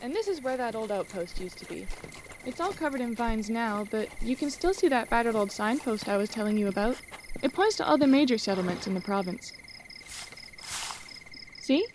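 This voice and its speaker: A woman playing a friendly, teasing young ranch girl in a fantasy audio drama, chatty and expressive. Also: fairly high pitch, normal speed, a little hiss or hum, natural voice, poor audio. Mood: wistful, chatty.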